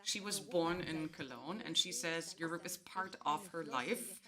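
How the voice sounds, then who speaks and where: A woman's voice: low-pitched, measured pace, crowd noise. A woman moderating a panel discussion, introducing each guest at length before opening the debate.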